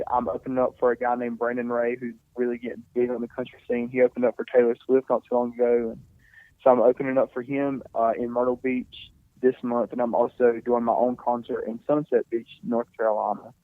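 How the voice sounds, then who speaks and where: A man in his twenties, a singer being interviewed, talking casually and softly with many fillers and restarts. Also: mid-range voice, no echo. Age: 20s. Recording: tinny phone-like sound, dry.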